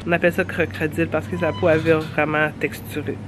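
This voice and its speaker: A woman's voice: deep voice, little pitch movement, fast talker, music in the background. A young woman with a Québécois accent and a stuffy cold, chatting casually and laughing often in a travel vlog, filmed outdoors on a handheld camera. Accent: Québécois French. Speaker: travel vlogger. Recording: outdoor ambience with traffic noise.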